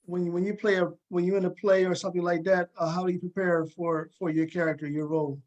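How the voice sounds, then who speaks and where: A man's voice: sits high, flat delivery, quick pace, darkish tone, muffled recording. A man hosting a talk show, interviewing guests in a casual, informal way and often repeating his phrases.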